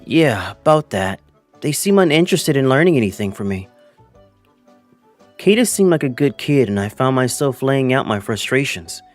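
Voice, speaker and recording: A man's voice: mid-range voice, very animated, moderate pace, faint music behind, close to an everyday voice. A man voicing an earnest twenty-five-year-old male teacher who narrates his own story and acts out his lines in a manga dub. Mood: frustrated. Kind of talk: first-person manga dub narration with acted dialogue.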